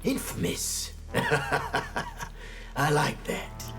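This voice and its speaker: An actor playing a cruel, gloating war-painted enforcer in a video game, gravelly and sinister, relishing each threat. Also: male voice, sits high, very animated, measured pace, exaggerated voice. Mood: amused. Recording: loud music over the voice.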